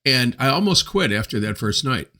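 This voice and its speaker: A man in his seventies hosting his own show, telling a personal story in a reflective, unhurried way with many pauses. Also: mid-range voice, very animated, bright, forceful tone. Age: 70s. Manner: unhurried, hesitant with frequent pauses and fillers. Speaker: host.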